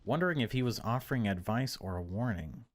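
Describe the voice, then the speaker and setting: A man reading a horror visual novel aloud as a let's-play narrator, in a theatrical, hushed storytelling style. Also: lowish voice, animated, fairly quick.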